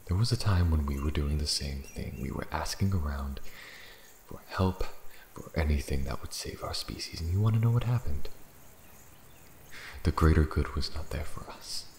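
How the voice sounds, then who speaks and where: Guy doing a super deep ancient dragon, bitter n proud, scornful brooding fantasy asmr roleplay, hushed bits, echoey. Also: moderate pace. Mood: bitter, menacing. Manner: brooding, scornful, theatrical, with hushed breathy dips. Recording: roomy echo, faint background noise.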